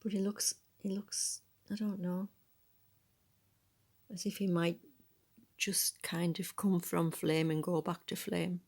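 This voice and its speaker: A woman reading a picture book aloud in a soft, breathy voice, adding musing, conversational asides about the illustrations. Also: fairly low pitch, flat delivery, slow pace, long pauses. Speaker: storybook reader.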